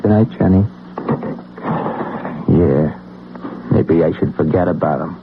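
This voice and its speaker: An actor playing an anxious, obsessed working-class everyman in a radio drama, with colloquial, halting, stammering speech. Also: deep voice. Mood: uneasy, evasive, then brooding. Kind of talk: old-time radio drama acting. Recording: tinny, noisy old radio broadcast recording.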